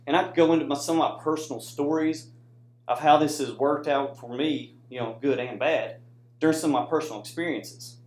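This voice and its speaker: A man's voice: a little high, brisk, a little hiss or hum. A man with an American accent giving an informal presentation to an audience, talking conversationally and often checking in with listeners. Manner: conversational, addresses audience with frequent "right?" tags. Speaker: student presenter, soldier. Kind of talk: informal classroom presentation with slides. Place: indoor room with audience. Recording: echoey room.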